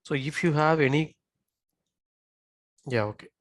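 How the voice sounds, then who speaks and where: Man teaching software, dry close sound. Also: a little high, measured pace, no echo. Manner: checks in often with short tag questions. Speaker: software instructor. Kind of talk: live software tutorial with screen walkthrough.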